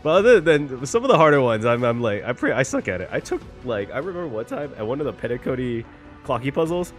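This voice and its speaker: A man's voice: medium pitch, sing-song, fast, soft background music. A man giving casual reaction commentary while gaming, rambling, repeating phrases and swearing casually. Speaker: gaming content creator.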